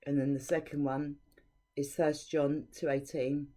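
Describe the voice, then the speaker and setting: A woman's voice: low-pitched, little pitch movement, measured pace, mellow. A woman giving an informal devotional teaching, reading scripture aloud and speaking in an earnest, preachy way. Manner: earnest and preachy, with frank opinions.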